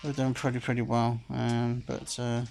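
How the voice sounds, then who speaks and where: Gravelly rambling man. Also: medium pitch, monotone, moderate pace, a little mellow. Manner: rambling, full of "sort of" and "like I say". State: a bit phlegmy. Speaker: slot game streamer. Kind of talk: casual commentary while playing. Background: game music.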